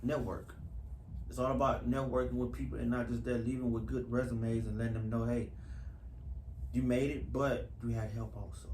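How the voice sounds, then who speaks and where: A man's voice: mid-range voice, flat delivery, normal speed, a little mellow. A man speaking casually and earnestly in an interview, repeating phrases for emphasis. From Houston, US. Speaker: actor being interviewed. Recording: echoey room with faint background noise.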